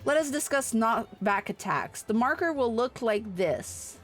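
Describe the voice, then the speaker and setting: A formal combat instructor from a fantasy video game, read aloud by a woman. The delivery is measured and encouraging, explaining drills and approving of the trainee. Faint music plays underneath. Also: on the high side, big pitch swings.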